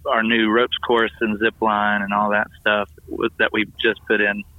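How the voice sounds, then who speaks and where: A slightly hoarse man, a family ministries pastor, calling in to tell an upbeat, enthusiastic story full of fillers. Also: lowish voice, completely flat delivery, normal speed, dry recording. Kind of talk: casual phone-in report. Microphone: phone call. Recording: tinny phone line.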